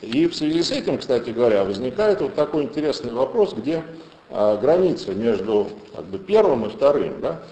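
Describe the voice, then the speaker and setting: A man's voice: medium pitch, sing-song, a little fast, dark tone. A man giving an unscripted academic lecture on language and thought, thinking aloud with restarts and emphatic rises. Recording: roomy echo.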